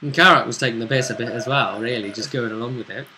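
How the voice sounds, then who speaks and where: British male game master, forceful bright tone, halting casual recap, faint noise behind. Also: mid-range voice. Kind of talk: casual recap of a tabletop role-playing game.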